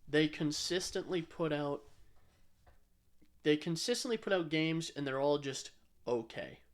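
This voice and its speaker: A man's voice: fairly high pitch, measured pace. A man co-hosting a podcast, chatting casually and informally about games, sometimes repeating himself for emphasis. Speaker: podcast co-host.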